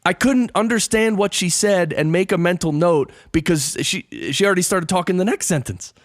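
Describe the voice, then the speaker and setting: A man's voice: sits high, normal speed, no room sound, clean studio sound. A man hosting a podcast interview, talking casually and telling stories with emphasis. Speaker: podcast host.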